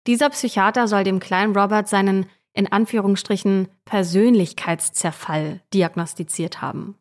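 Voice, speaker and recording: A woman's voice: medium pitch, fairly even intonation, moderate pace. A woman co-hosts a true-crime podcast, telling a scripted story in a conversational way that addresses listeners. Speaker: podcast co-host.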